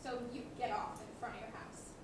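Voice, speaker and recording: A young woman plays a prim little girl negotiating like an official, high-voiced and formal. It is stage comedy, heard from far off in a very echoey, noisy hall. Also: steady tone, a little fast, lightly acted. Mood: probing. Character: Ashley, prim businesslike nine-year-old girl negotiator. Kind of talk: student stage comedy acting.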